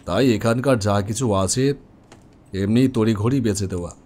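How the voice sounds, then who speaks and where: A man plays an elderly homeowner from a horror cartoon, with a soft, dark-toned voice. He speaks in a reflective, gentle way, wistful and resigned. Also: lowish voice, normal speed, natural voice. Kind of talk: Bengali horror cartoon dialogue.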